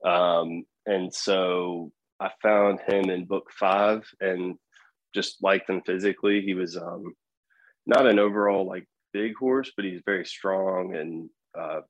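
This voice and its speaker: A man answering interview questions in a relaxed, hesitant way, full of fillers and restarts. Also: low-pitched, slow, dry recording, lo-fi sound. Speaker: podcast guest.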